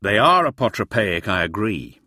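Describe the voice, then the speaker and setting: Man playing a clear, terse expedition leader. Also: on the low side, very animated, bright, forceful tone, dry recording, clean studio sound, exaggerated voice. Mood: firm, explanatory. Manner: measured, firm, explanatory. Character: terse, quietly authoritative male expedition leader, impatient, matter-of-fact. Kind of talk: audiobook character dialogue.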